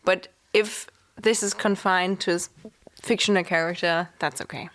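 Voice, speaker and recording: A woman's voice: on the low side, fairly even intonation, moderate pace, fairly bright tone. A woman co-hosting a casual book podcast, speaking in a reassuring, matter-of-fact way. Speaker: podcast co-host. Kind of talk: casual chat.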